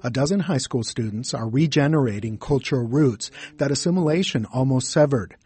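Dark husky male news reporter. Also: mid-range voice, fairly quick. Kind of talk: scripted news narration.

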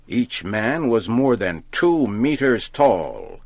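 A man narrating a folk tale from a script, deliberately and with careful enunciation, as if for learners. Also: medium pitch, big pitch swings, creaky, no room sound, studio quality. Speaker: storyteller, narrator.

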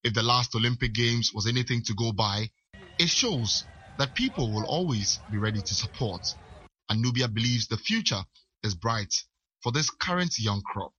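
A man narrating a sports news report in a measured way. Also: medium pitch, lively intonation, bright, forceful tone, muffled recording, slight background noise.

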